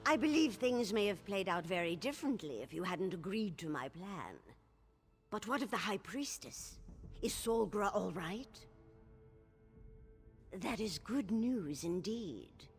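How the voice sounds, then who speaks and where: A woman's voice: medium pitch, expressive, measured pace. An actress playing a stately older orc matriarch, the king's proud mother, in a video game, formal and authoritative. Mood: relieved, resolute. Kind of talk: dramatic video game voice acting. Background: game music.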